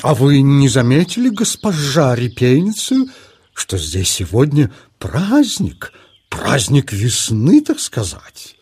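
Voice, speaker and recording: An actor playing a pompous, gallant, boastful beetle in a children's audio play, with a husky, blustering, mannered delivery. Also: male voice, mid-range voice, huge pitch swings, slow pace, a little mellow, exaggerated voice. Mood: stiff disapproval. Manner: blustering, mannered, hesitant interjections.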